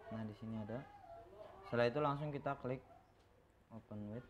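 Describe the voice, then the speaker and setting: A man teaches in an informal tutorial style, with a mid-pitched voice. He explains haltingly and often repeats himself. The recording is muffled and lo-fi, with some background noise. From Indonesia. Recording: dull lo-fi microphone, clear background noise.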